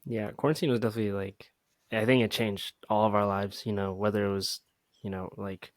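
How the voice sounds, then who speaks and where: Young male co-host, lowish voice, dry close sound, rambly and reflective. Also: no room sound. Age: young adult. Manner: filler-heavy, reflective, says "you know" a lot. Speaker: podcast co-host. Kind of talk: casual chat.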